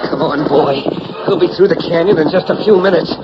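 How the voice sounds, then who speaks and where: An actor playing an earnest, troubled young cowboy husband with hesitant folksy speech in a 1940s radio western. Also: male voice, on the high side, brisk, loud music behind the voice, close to an everyday voice. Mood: uneasy. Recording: noisy, muffled 1940s radio recording.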